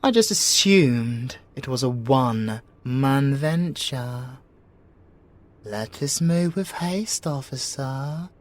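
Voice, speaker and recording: A man's voice: mid-range voice, expressive, slow. A British man in an ASMR audio roleplay, playing a sly, teasing, menacing male siren with a theatrical, mock-polite manner. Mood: playful, teasing.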